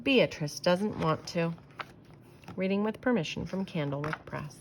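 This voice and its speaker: A woman reading a children's storybook aloud as an expressive storyteller, with emphatic phrasing. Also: lowish voice, a little slow, noisy background.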